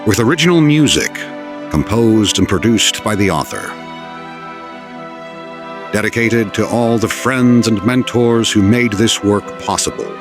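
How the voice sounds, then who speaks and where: Very deep, expressive male storyteller. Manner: measured, formal storytelling. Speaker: narrator. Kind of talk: scripted storybook narration. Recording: voice over orchestral music.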